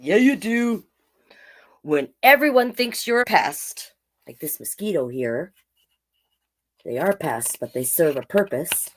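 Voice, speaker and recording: A woman's voice: on the low side, expressive, slow, pauses often. A woman hosting a children's storytime, reading picture books in a playful, encouraging way and chatting directly to young viewers. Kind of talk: animated picture-book read-aloud with chatty asides to young viewers.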